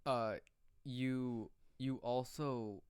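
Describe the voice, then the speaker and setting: A man's voice: mid-range voice, fairly even intonation, very slow, clean tone, dry recording, clean studio sound. A young man co-hosting a casual podcast, talking playfully with hesitant restarts. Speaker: podcast co-host. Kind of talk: casual chat among friends.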